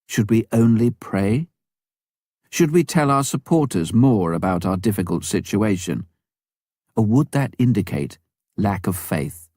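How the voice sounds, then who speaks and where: Male audiobook reader for memoir narration: lowish pitch, animated melody, deliberate phrasing, dry recording. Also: no room sound.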